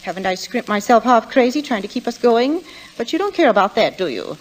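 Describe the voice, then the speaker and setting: An actress playing a worried, scolding middle-aged London housewife, in 1940s radio style. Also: female voice, on the high side, big pitch swings, brisk, low-quality recording. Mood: bitter, despairing. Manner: fretful, complaining. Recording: hissy old 1940s radio recording.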